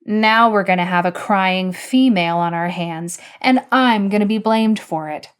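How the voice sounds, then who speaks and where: A woman's voice: mid-range voice, unhurried, clear, resonant tone, natural voice. A woman voicing a sarcastic, cocky young man who needles the others in a storybook reading. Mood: griping.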